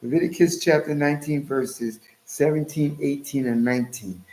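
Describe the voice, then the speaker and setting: A man's voice: fairly high pitch, normal speed, a little mellow, reverberant, rough recording. A man preaching and reading scripture in a lecturing, emphatic way, now and then jumping into mocking voices. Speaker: Hebrew Israelite teacher.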